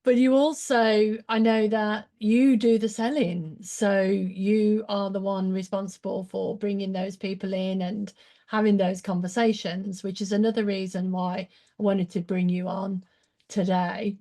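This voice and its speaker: Lady host gushing about her guest, mellow warm tone, lively. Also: mid-range voice, measured pace. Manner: effusive, gushing praise, animated. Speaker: show host. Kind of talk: casual interview chat.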